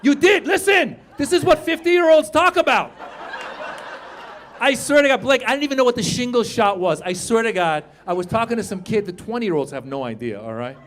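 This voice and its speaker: A man in his fifties doing a stand-up comedy set on a club stage, joking with the crowd in a loud, animated way and swearing freely. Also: very high-pitched, fairly quick. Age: 50s. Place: comedy club stage before a live audience.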